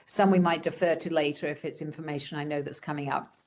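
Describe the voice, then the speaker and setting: A woman with a croaky voice from a respiratory virus, giving an informal slide presentation to students on a video call in a conversational, frank way. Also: low-pitched, steady tone, fast, darkish tone. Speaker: program director presenting a webinar.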